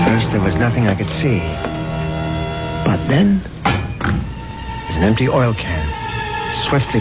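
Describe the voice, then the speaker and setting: An actor playing a nervous, superstitious widowed husband in a 1970s radio drama, stammering and agitated as he tells his story. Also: low voice. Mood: wary, haunted. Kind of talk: 1970s radio drama acting, first-person narration and dialogue. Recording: dull vintage radio recording, loud music behind.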